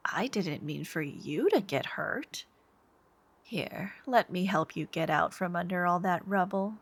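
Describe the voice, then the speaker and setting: A woman's voice: fairly low pitch, heavily acted. A woman playing a smitten, sweetly menacing young supervillain stalker in an intimate ASMR roleplay, coy and theatrical, sometimes murmuring. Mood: feigned concern. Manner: coy, unhurried, theatrical, lapsing into breathy murmurs.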